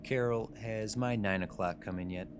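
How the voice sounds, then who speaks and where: A man's voice: fairly low pitch, steady tone, moderate pace, natural voice. A man playing a self-important, money-driven office boss in a radio drama, businesslike and self-satisfied, over a noisy background. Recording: clearly noisy room background.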